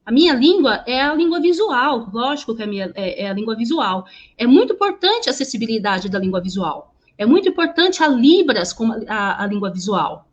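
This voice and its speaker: A woman interpreting sign language into speech over a video call, halting, with many restarts and repeated words. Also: fairly high pitch, very animated, highs cut off. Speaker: sign language interpreter voicing a deaf teacher.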